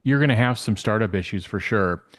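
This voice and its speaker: A man's voice: fairly low pitch, a little fast, no room sound, clean studio sound. A man giving casual, off-the-cuff commentary as an interview guest, often rephrasing as he goes.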